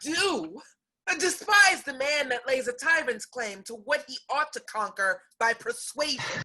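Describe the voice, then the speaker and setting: A woman's voice: sits high, animated, measured pace, pushed and bright, full character voice, rough recording. A woman playing a distressed but defiant young lady in a period stage comedy, declaiming with passion. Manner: impassioned, declamatory. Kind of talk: theatrical Restoration play acting.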